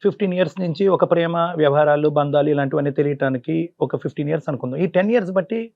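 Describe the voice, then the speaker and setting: A man's voice: fairly high pitch, fast talker, gravelly, phone-quality sound. A man hosting an interview, persistently questioning and explaining to his guest. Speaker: host and counselor. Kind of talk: probing interview.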